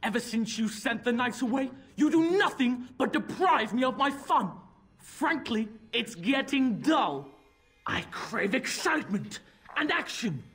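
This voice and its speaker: An actor playing an imperious, spoiled demon speaking through a young boy in a video game, husky and menacing. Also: medium pitch, lively intonation, a bit bright, exaggerated voice. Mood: petulant, bored. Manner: taunting, commanding, slow drawn-out menace.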